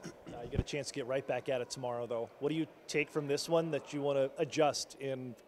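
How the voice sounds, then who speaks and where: A man's voice: medium pitch, steady tone, brisk, a little mellow, a little hiss or hum. A man working as a team reporter, asking long, rambling postgame questions full of restarts.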